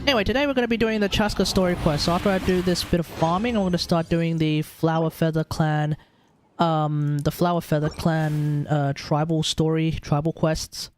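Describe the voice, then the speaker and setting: A man's voice: fairly high pitch, steady tone, normal speed, noisy background. An Australian man chatting casually as a game live streamer, rambling and often rewording himself as he goes. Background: game music.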